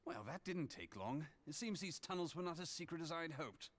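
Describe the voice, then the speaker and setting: A man's voice: on the high side, lively intonation, normal speed, a bit bright. An actor playing a charming, roguish young male dagger fighter with a playful, wry way of speaking in a game cutscene.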